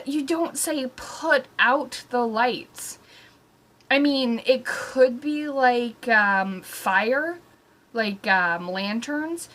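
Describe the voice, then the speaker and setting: Slow-talking, higher-voiced woman doing exasperated comic commentary. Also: a bit bright. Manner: mock-exasperated, with drawn-out emphatic words. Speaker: host of a comedic story-time show. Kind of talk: casual, rambling comic commentary on a children's book.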